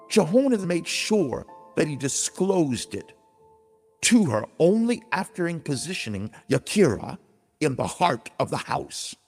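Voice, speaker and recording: A man reading scripted, dramatized audiobook narration in a solemn, emphatic, deliberate storytelling manner. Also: medium pitch. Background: music under the voice.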